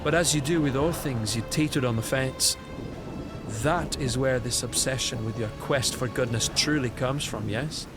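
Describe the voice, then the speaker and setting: A man playing a bitter, scornful young prince bent on vengeance, speaking in a cold, deliberate, mocking way in an audio drama. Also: medium pitch, music in the background, natural voice. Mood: accusing. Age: young adult.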